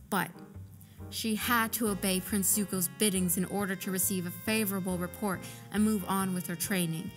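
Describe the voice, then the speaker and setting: Woman narrating a story, medium pitch.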